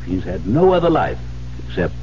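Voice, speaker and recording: A kindly, sober adviser in a vintage radio play. His voice is low and mellow, on a dull recording. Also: very animated, normal speed, slight background noise. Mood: gently advising. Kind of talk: 1970s radio drama acting.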